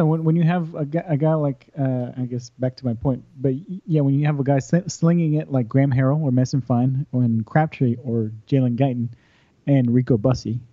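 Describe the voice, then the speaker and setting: A man's voice: mid-range voice, no echo. Man from Texas on dull, dry audio. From Austin, Texas. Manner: halting, repeats words while building a point. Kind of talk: casual sports chat.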